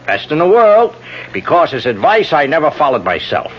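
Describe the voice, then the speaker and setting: A man's voice: fairly high pitch, wildly swooping pitch, normal speed. An actor playing a charming, world-weary older congressman, wry and avuncular, in a radio drama. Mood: wistful. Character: charming, world-weary old-guard male congressman, a rogue. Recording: muffled vintage radio recording.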